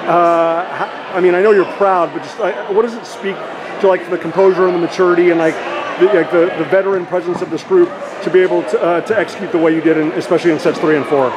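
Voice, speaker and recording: Brief: high-voiced male sports interviewer, quick and rambling, noisy arena hallway. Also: steady tone, a crowd in the background. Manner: long rambling questions full of fillers. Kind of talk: postgame interview. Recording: noisy background.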